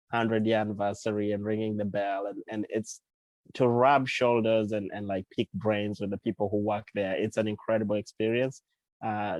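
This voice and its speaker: Thoughtful low-voiced man from Uganda. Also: darkish tone, dry recording, rough recording. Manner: thoughtful, with frequent fillers and restarts. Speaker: guest author and CEO. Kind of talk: casual interview talk.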